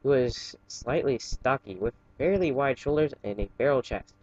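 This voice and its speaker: A man casually reading fan fiction aloud in a halting way, stumbling and correcting himself, with chatty asides. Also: mid-range voice, expressive, a little mellow, dry recording, highs cut off.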